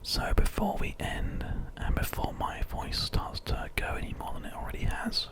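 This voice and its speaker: A British man whispering softly and breathily in an unhurried, rambling ASMR game commentary. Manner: soft, gentle, unhurried rambling. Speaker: ASMR video host.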